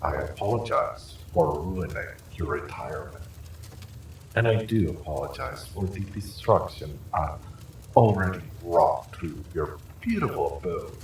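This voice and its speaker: A man's voice: low voice, expressive, unhurried, slight background noise, rough recording. A man playing an evil sorcerer in an audio roleplay, smug and silkily menacing, with a mock-polite, gloating delivery. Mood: polite menace. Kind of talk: dramatic villain monologue, audio roleplay.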